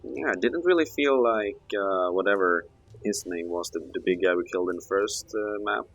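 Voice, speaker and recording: A man giving casual game commentary as a co-op partner, hesitant, agreeable and low-key. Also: normal speed. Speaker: co-op gaming partner. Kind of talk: casual Let's Play commentary.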